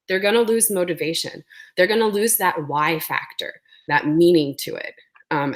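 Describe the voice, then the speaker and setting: A woman presenting an educational webinar, speaking with a sore throat and explaining things with frequent ums. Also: lowish voice.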